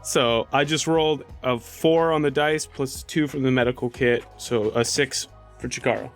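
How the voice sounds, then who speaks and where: Male tabletop player, medium pitch, informal, thinking aloud, improvised roleplay, soft music underneath. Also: moderate pace. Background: faint music.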